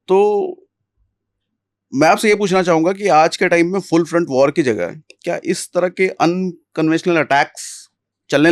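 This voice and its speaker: A man hosts a podcast interview. His voice sits a little high and he asks his questions politely and respectfully. The sound is dry, with hardly any room in it. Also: no echo. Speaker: podcast host.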